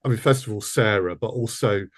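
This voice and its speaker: Male interviewer, somewhat deep and raspy, conversational, hesitant phrasing. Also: a little fast. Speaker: interviewer and audio drama producer.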